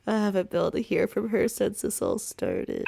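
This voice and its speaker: A woman plays a feathered familiar in a fantasy tabletop game, sheepish and quick to get defensive. The creature is cagey and indignant, with a medium-pitched voice. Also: very animated, vocal fry, dark tone, full character voice. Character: cagey, flustered, embarrassed winged bird familiar.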